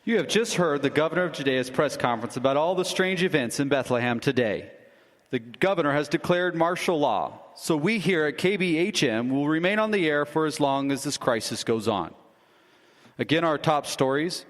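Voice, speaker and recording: An actor playing a polished radio station announcer who introduces news bulletins in a live radio drama. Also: male voice, a little high, lively intonation, moderate pace, natural voice. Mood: announcing. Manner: polished broadcast announcer delivery. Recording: live stage recording in a hall.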